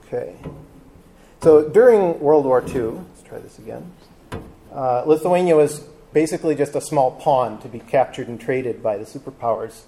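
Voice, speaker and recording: Relaxed man with a mellow voice. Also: mid-range voice, moderate pace, vocal fry, slight background noise. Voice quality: relaxed, hesitant with frequent ums. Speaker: travel talk presenter. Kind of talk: casual live talk to an audience. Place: live talk before a seated audience with drinks.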